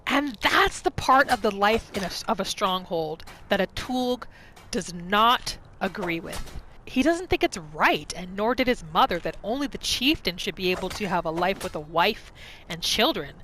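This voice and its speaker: A woman's voice: medium pitch, lively intonation, moderate pace, bright, forceful tone, a little hiss or hum, rough recording. A woman doing roleplay gameplay commentary, narrating her character's thoughts and reacting to the game. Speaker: gaming video creator, roleplayer. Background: faint music.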